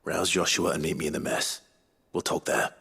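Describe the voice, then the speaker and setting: Low-voiced, weary outlaw leader. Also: male voice, steady tone, normal speed, creaky, pushed and bright, slightly rough, full character voice. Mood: grave, measured. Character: weary, resolute male outlaw leader, young adult. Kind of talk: dramatic video game voice acting.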